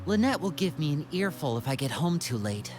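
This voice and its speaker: A woman's voice: deep voice, sing-song, fairly quick, over music. An actress voicing a gracious, thoughtful young woman adventurer in a video game.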